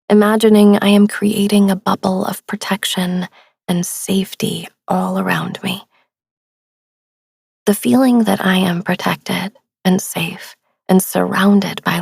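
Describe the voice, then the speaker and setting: A woman narrates guided relaxation softly and without hurry, close to the microphone in a very dry space. Her voice is breathy and on the low side, with noticeable creak. The delivery is gentle and soothing. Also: dry recording. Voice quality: soft, breathy. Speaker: host of a sleep meditation podcast. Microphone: close studio microphone, dry.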